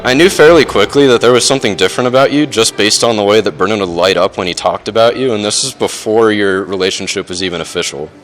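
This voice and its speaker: Young male best man, lowish voice, halting informal wedding speech, dry self-deprecating humor, faint music behind. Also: fairly bright tone. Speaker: best man, groom's older brother. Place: wedding reception, speaking to guests.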